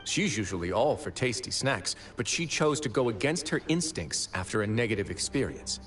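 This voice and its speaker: A man playing a composed, stern and protective prison warden in an anime game, speaking in a measured, deliberate way. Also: on the low side, very animated, a bit bright, faint music behind. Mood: earnest.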